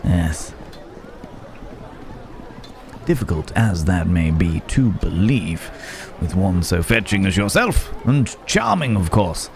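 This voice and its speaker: A man's voice: low voice, sing-song, a little slow, faint music behind, exaggerated voice. A man playing a courteous, troubled werewolf in an ASMR roleplay, with old-fashioned formal phrasing and a hesitant, musing delivery. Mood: musing, cautious. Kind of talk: ASMR roleplay acting, one-sided dialogue. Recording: busy outdoor market ambience behind voice. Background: market crowd ambience.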